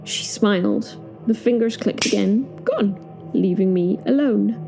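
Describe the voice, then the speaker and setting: A woman's voice: mid-range voice, measured pace, creaky, mellow, faint music behind, poor audio. A woman narrating a dramatic story in the first person, as part of an audio drama.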